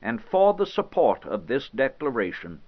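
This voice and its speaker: An actor playing a solemn, indignant eighteenth-century American statesman, reading a political document in a formal, measured, oratorical manner with weighty pauses. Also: medium pitch, huge pitch swings, creaky, heavily acted. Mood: firm, declaratory. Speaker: historical interpreter. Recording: slightly dull, band-limited audio.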